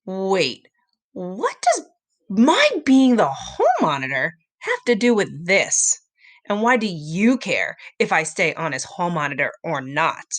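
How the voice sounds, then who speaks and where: A woman's voice: lowish voice, huge pitch swings, slow pace, full character voice. A woman voicing a reluctant, easily alarmed schoolboy in a storybook, with a whiny, exclamatory delivery. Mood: bewildered.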